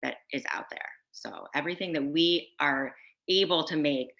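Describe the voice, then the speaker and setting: A woman on a video-call panel, answering in a halting, casual way with many restarts and fillers. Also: deep voice, gravelly, fairly bright tone. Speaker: brand founder on a panel. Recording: dull lo-fi audio.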